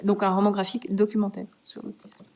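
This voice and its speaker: Woman, guest author, hesitant, fillers and restarts, mid pitch, university talk. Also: monotone, quick pace, a little mellow. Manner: hesitant, many fillers and restarts, laughs easily. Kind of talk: conversational Q&A answers. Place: university meeting room.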